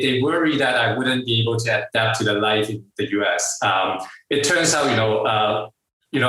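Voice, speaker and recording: A middle-aged man with a Hong Kong accent and a bright, forward voice tells his story in a halting, filler-heavy way with frequent laughs. He sits some distance from the mic, and the room gives back a strong echo. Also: medium pitch. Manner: halting, many fillers and restarts, laughs often. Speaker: guest entrepreneur. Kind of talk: casual interview storytelling. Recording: echoey room, far from the mic.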